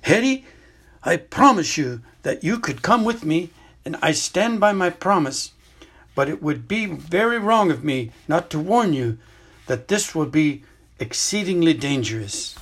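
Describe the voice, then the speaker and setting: A man voicing a calm, grave old male wizard headmaster in a gentle, measured way, with a husky voice. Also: on the high side, voice pushed up, exaggerated voice.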